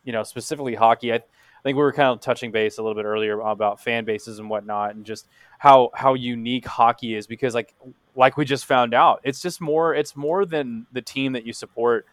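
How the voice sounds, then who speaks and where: A man hosting a casual hockey podcast in a chatty, easygoing way, often stopping to restart his sentences. His voice sits at an ordinary pitch. The sound is close and dry, with no room around it. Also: steady tone, fairly quick, no echo. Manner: easygoing, filler-heavy, chatty. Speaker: podcast host.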